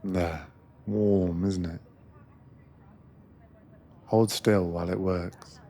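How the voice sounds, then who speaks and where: Deep-voiced man, slow ASMR narration. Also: steady tone. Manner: soothing, gentle, attentive, unhurried. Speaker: narrator playing a sleeper train attendant. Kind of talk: ASMR guided meditation roleplay, scripted narration.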